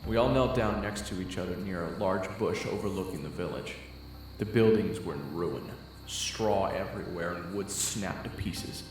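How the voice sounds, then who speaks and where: A man's voice: low voice, normal speed, a little hiss or hum. A man narrating a first-person scripted story for an audio roleplay. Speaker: narrator and voice actor. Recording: roomy, distant sound under loud music. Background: loud game and anime music.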